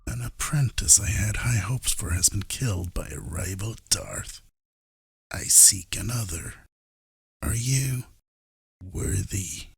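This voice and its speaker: A man playing a cold, domineering Sith lord in an audio drama, with a whispery gravelly voice and commanding, deliberate delivery. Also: lowish voice, no echo, heavily acted. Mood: cold, appraising.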